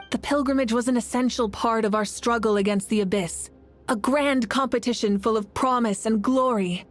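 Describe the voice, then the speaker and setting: A woman playing a noble, resolute female war leader in a video game, speaking earnestly, steadily and calmly. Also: on the high side, natural voice. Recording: faint music behind.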